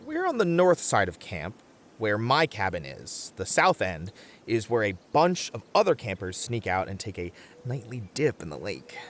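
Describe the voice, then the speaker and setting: A man's voice: fairly low pitch, wildly swooping pitch, moderate pace. A man playing a muscular, sensible but easily flustered bunny-man camper in a comedic audio roleplay, hesitant and wry as he reasons aloud. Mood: explaining.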